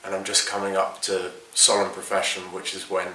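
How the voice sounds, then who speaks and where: A man who is a Dominican friar, speaking calmly. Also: deep voice, fairly quick, echoey room, distant mic, slight background noise, low-quality recording.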